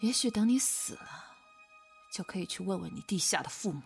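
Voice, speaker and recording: A woman playing a cold, vengeful company boss in an audio drama, speaking in a contemptuous, commanding way with a breathy voice. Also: mid-range voice, expressive, a little fast. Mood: bitter menace. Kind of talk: modern audio drama acting. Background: faint music.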